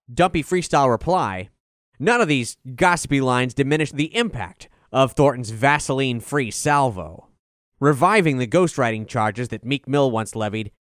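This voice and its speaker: A man's voice: medium pitch, no echo, clean studio sound. A man reading a scripted review as narration, in an articulate, formal delivery. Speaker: album review reader.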